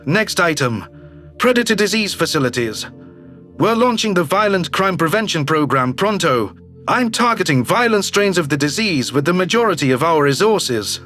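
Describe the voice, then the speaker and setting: A man's voice: on the high side, very animated, normal speed, fairly bright tone, soft background music, voice pushed down. A man voicing a brash, slick alien governor who talks with glib self-assurance, in a sci-fi audio drama. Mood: breezy, confident. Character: brash, slick alien politician, newly elected male governor. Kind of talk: audio drama character reading.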